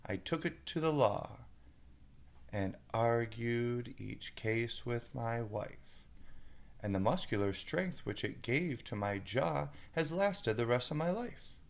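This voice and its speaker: A man playing an unruffled, boastful old sage, reciting comic storybook verse in measured lines. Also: mid-range voice, lively intonation, vocal fry, pitched above the performer's own voice. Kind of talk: storybook poem reading.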